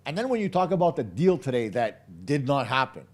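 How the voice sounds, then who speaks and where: A man with a hoarse, slightly worn voice breaks down a hockey game for his live audience. He is blunt and opinionated, pressing his points hard and speaking right to his viewers. His voice sits in the middle range for a man. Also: mid-range voice, big pitch swings, brisk. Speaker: hockey podcast host.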